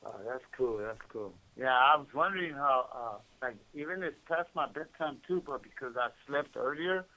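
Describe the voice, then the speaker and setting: A man's voice: mid-range voice, normal speed, few pauses, pushed and bright, low-quality recording. A man calling by phone from prison, chatting casually in slangy, repetitive bursts. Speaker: incarcerated caller. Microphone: phone call.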